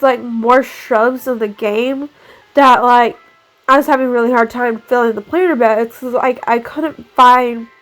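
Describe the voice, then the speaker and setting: A woman's voice: sits high, poor audio. A young woman with a stuffy, nasal cold, chatting casually in a rambling way while narrating her game build. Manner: chatty and rambling, lots of "like" fillers. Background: faint background music.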